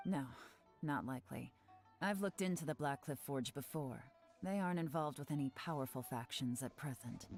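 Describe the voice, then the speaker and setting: A woman voicing a composed adult female spy who investigates calmly and speaks in a measured, knowing way in a video game. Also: low voice, lightly acted. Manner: calm, measured, quietly knowing.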